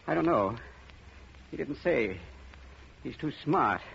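A man's voice: on the high side, lively intonation, takes it slowly, vocal fry, fairly bright tone, heavily acted. An actor playing a frightened man urgently begging for help in a 1950s radio drama. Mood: terrified, desperate. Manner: urgent, pleading, breathless phrasing. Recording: muffled, noisy old radio recording.

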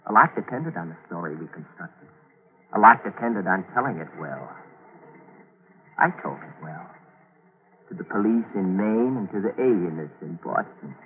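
A man's voice: low-pitched, lively intonation, moderate pace, pauses often, a little hiss or hum. An actor playing a haunted man who narrates his own grim confession in a 1940s radio drama. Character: haunted colorblind man recounting a killing. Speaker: narrator and protagonist. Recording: tinny old radio broadcast.

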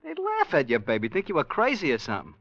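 Blunt husband, wildly swinging pitch. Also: male voice, wildly swooping pitch, fast talker, fairly bright tone, dry recording, full character voice. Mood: dismissive. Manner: scoffing, slangy, offhand. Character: dismissive, blunt working-class husband. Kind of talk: 1940s radio drama acting. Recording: dull, dry vintage radio recording.

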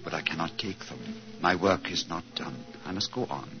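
Mysterious wandering man for 1940s radio: low, creaky voice, grave and respectful. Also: normal speed, faint music behind. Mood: grave, reserved. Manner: reverent, reserved, solemn. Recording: dull 1940s radio recording.

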